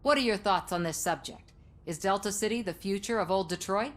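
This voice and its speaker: An actress playing an earnest, assertive television news reporter in a video game, polished and articulate. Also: female voice, medium pitch, a little fast, natural voice. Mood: professional.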